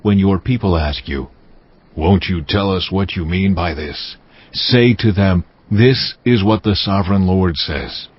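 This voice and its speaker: An actor playing God in a dramatized scripture reading, speaking solemnly and deliberately, in a commanding, declamatory manner. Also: male voice, sits low, slightly rough. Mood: solemn, authoritative. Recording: dull muffled audio with music behind. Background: music.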